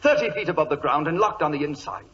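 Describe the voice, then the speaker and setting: Overwrought English clerk, vintage radio drama. High, swooping, excitable delivery, British accent, dull old recording. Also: male voice, quick pace. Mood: earnest, nervous. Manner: overwrought, exclamatory, stammering. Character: anxious, excitable young English government clerk. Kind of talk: 1940s radio drama acting.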